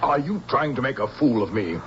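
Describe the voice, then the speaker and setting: An actor playing a stern, authoritarian general with a curt manner in a radio drama. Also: male voice, medium pitch, animated, a little fast, lo-fi sound, natural voice. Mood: stern, indignant. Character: stern, overbearing male general. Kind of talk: 1970s radio drama acting. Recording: slightly noisy, band-limited old radio.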